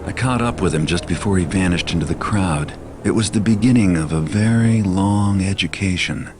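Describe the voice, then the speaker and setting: A man's voice: sits low, normal speed, music in the background, natural voice. An actor playing a brooding thief who narrates his own past in a restrained, reflective way for a video game cutscene.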